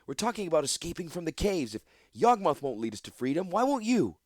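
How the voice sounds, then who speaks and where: A man's voice: on the high side, very animated, fairly quick, dry recording, clean studio sound, pitched above the performer's own voice. A man voicing a desperate young hooded male outcast who pleads in a raised voice. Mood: pleading.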